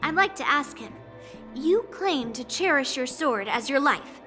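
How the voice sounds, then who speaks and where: A hot-tempered young swordswoman from a video game. Her voice is high and girlish. She talks in a cocky, blunt, casual way over clear background music. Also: lively intonation, a little slow, bright, forceful tone, exaggerated voice. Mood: defiant.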